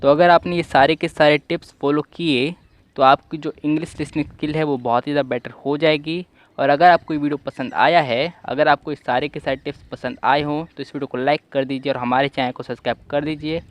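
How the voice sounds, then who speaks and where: A young man hosting an online English-listening lesson, giving repetitive advice in a steady explanatory voice-over. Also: fairly high pitch, brisk, no echo. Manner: repetitive, advising tone. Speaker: English-learning channel host.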